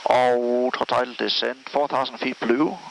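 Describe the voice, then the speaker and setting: A man's voice: medium pitch, steady tone, unhurried, gravelly, rough recording. A Danish man flying an airliner, giving short commands and checklist replies with a Danish accent. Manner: terse, procedural. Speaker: airline pilot. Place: airliner cockpit in flight. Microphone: cockpit intercom headset. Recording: loud cockpit noise with hiss.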